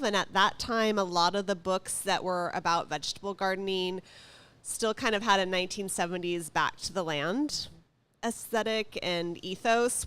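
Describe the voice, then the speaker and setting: A woman's voice: mid-range voice, fairly bright tone, clear, resonant tone. A woman speaking as a guest in a casual radio interview, chatting and explaining things. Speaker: guest, gardening author and former magazine editor.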